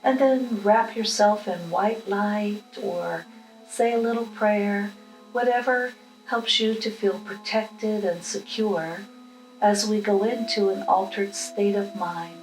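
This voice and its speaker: A woman leading a guided meditation with affirmations, speaking in a calm, unhurried, instructional manner. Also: medium pitch. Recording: roomy echo with music behind.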